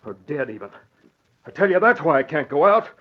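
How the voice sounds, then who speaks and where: Desperate weak-willed dockworker: husky, highish, swinging pitch, slangy whine, vintage radio crime drama. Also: male voice, very animated, a little fast. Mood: agitated, defensive. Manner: whining, agitated, slangy working-class talk. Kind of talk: 1940s radio acting. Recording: dull old radio broadcast recording.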